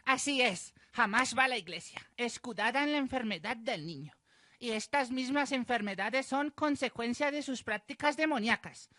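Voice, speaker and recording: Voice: medium pitch, expressive, moderate pace, bright, forceful tone, no room sound, clean studio sound. A performer playing a pious, solemn village accuser denouncing sinners in a radio drama. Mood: condemning.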